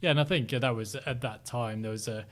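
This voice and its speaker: Hesitant middle-aged man with softened northern English accent. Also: mid-range voice, a little fast. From Sheffield, South Yorkshire, England. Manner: hesitant, many ums and restarts, reflective. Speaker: interview guest. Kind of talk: casual interview chat.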